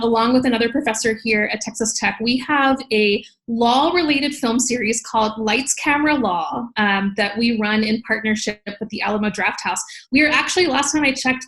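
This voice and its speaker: Woman, fairly high voice, animated, conversational, academic podcast guest. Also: rough recording. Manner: animated, laughs readily. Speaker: podcast guest, law professor. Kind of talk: conversational interview, explaining her research.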